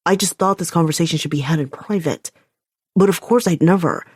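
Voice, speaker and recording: Brief: a woman playing a worried, remorseful man, slightly lowered voice, earnest pleading delivery. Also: a little fast, darkish tone, breathy edge, dry recording, clean studio sound.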